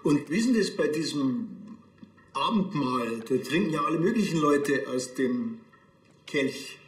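An actor playing a blunt, sardonic village policeman in a television crime comedy, deadpan and colloquial. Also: on the high side, a little slow, echoey room, rough recording. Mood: dry, needling.

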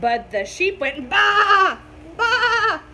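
A woman voicing noisy storybook farm animals with loud, repeated calls. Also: very high-pitched, sing-song, drawn-out words, pitched above the performer's own voice, full character voice. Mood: noisy, emphatic. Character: noisy farm animals: hen, pig, duck, sheep, dog. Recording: faintly noisy home recording.

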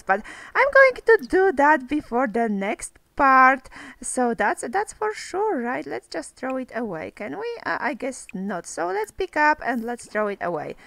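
High, lively woman gaming. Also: dry recording. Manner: chatty, non-native phrasing, talks to her characters. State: getting sick, voice a bit off. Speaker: let's play host. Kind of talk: casual gameplay commentary.

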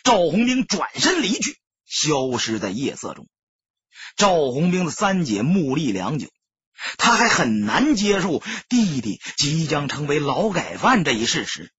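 A man's voice: on the high side, wildly swooping pitch, measured pace, fairly bright tone, husky, dry recording, lo-fi sound. A man narrating an audiobook as scripted dramatic storytelling, solemn and emotive.

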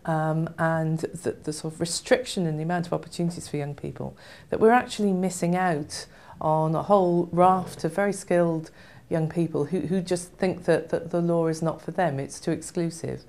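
A middle-aged woman, low and mellow in voice. She answers for her law firm in a deliberate, professional interview manner.

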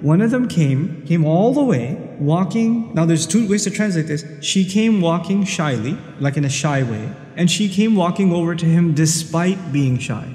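A man's voice: high voice, darkish tone. A man giving an earnest religious talk, explaining scripture and reciting Arabic verses. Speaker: Islamic lecturer. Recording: roomy hall with long reverb.

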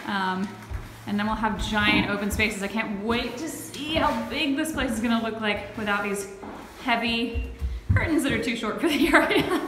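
A woman's voice: on the high side, expressive, moderate pace. A young woman, chatty and upbeat, vlogging a home renovation on a phone camera while laughing often. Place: empty house, indoors. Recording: echoey empty rooms, noisy.

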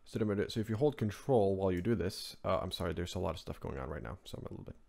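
Low-voiced Norwegian man, casual tutorial host. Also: animated, quick pace, gravelly, a little mellow. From Norway. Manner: rambling, offhand, informal asides. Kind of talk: casual screen-recorded software tutorial. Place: indoors at a computer, screen recording voice-over.

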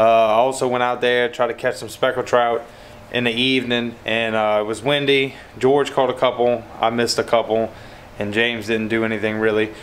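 A man's voice: medium pitch, fairly bright tone, a little hiss or hum. Laid-back young man vlogging. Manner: laid-back, rambling, filler-heavy. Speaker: fishing vlogger. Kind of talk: casual vlog recap. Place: living room at home. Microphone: camera held close at arm's length.

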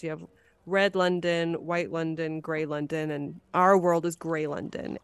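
Woman podcast host, clear and smooth. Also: lowish voice, no echo. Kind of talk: casual podcast conversation.

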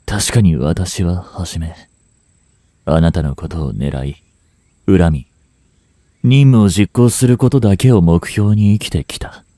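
A man in his twenties acting a proud, smooth-talking young prince exposed as a spy, with formal, pleading delivery in a dramatic voice drama. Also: sits low, takes it slowly, pauses often, warm tone, no room sound. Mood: earnest pleading. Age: 20s. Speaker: amateur voice actor and voice drama creator.

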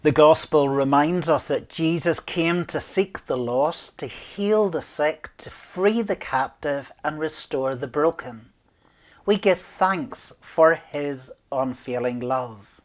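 Slow-paced middle-aged man leading communion prayers. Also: fairly high pitch. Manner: measured, solemn, with deliberate pauses. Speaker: minister leading worship. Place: small room indoors, at a desk.

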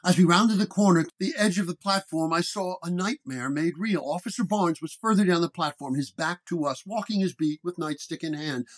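Man, higher-pitched voice, dramatic storyteller, first-person detective horror narration. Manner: storytelling, acting out dialogue.

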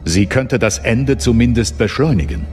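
An actor playing a serious, composed warrior-monk master in a radio play. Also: male voice, medium pitch, lively intonation, moderate pace, a little mellow, faint music behind, natural voice. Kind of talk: dramatic radio play acting.

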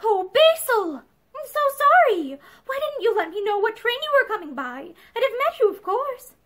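A woman's voice: very high voice, wildly swooping pitch, normal speed, bright, forceful tone, pitched above the performer's own voice, exaggerated voice. A woman voicing a timid, emotional young wife in a storybook, earnest and easily hurt. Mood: apologetic. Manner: faltering, earnest, protesting.

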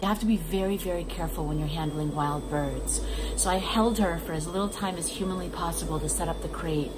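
A woman in her forties, a sanctuary founder, talking to the camera in a soft, earnest way. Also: fairly low pitch. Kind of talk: casual vlog narration. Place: outdoors at a farm sanctuary. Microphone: handheld phone at arm's length. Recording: outdoor phone recording with background noise.

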